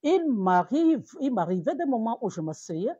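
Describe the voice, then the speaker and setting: A woman's voice: fairly high pitch, big pitch swings, normal speed, dark tone, slightly rough, no room sound, lo-fi sound. A woman pastor from Cameroon preaching in a live-streamed sermon, repeating words and rising to emphatic peaks.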